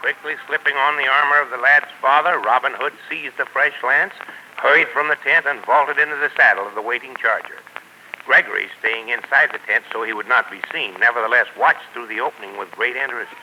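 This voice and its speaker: A man's voice: medium pitch, fairly quick, pushed and bright. Folksy man narrating on old radio. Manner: folksy, chatty. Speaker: children's show host and narrator. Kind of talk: 1940s radio storytelling and hosting. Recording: noisy 1940s radio broadcast.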